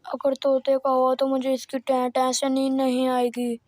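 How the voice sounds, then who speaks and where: Woman as a monotone grumpy crow husband. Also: high-pitched, moderate pace, close to an everyday voice. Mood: annoyed. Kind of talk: Hindi children's moral cartoon acting.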